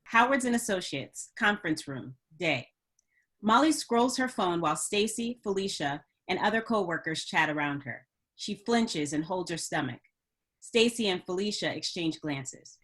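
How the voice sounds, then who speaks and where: A woman's voice: on the low side, expressive, moderate pace, a bit bright. A woman reading stage directions as scripted narration for a screenplay table read.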